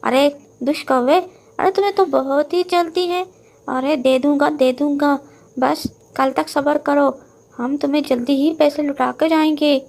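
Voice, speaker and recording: A woman voicing a scheming, deceitful male owl villain in a children's cartoon, wheedling and falsely flattering as he stalls. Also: high-pitched, a little slow, vocal fry, voice pushed up. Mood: stalling.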